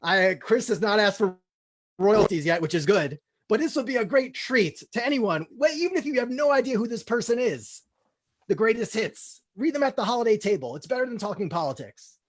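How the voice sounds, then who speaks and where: A man's voice: sits high, lively intonation, fairly quick. A man hosts a comedy table read. He talks casually to the group and then reads scene settings as a narrator. The delivery moves between offhand chat and scripted narration. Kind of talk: casual hosting with scripted stage-direction narration.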